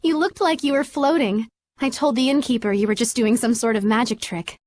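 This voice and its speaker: An actress playing a composed young princess with gracious, formal and measured phrasing in a fantasy game scene. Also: female voice, a little high, darkish tone, no echo, lightly acted. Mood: teasing. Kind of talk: dubbed fantasy game cutscene acting. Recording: orchestral music behind the voice.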